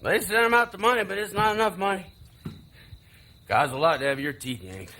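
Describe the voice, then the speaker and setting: High-pitched bitter younger brother, sarcastic, wildly swinging intonation, stage drama, noticeable background noise. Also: male voice, animated, brisk, sharp tone, exaggerated voice. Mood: bitter storytelling. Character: taunting, bitter younger brother, screenwriter gone mocking. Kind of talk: live stage play acting.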